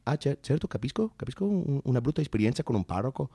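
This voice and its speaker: A man playing an earnest, overexcited door-to-door communist proselytizer in a comic stage monologue, halting and repeating himself eagerly. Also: mid-range voice, big pitch swings, dark tone, breathy edge, no echo, clean studio sound, pitched below the performer's own voice. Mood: awkward, conciliatory. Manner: halting, stammering, repetitive, eager.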